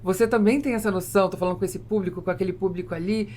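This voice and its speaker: Casting: Brazilian woman, quick speaker, medium pitch, explanatory and conversational podcast delivery. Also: steady tone, dark tone.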